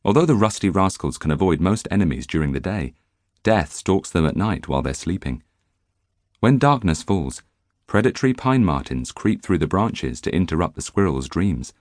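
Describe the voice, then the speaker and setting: A man narrating a nonfiction audiobook in an expressive storytelling manner, close to the microphone in a studio. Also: deep voice, normal speed, no room sound. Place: dry recording studio.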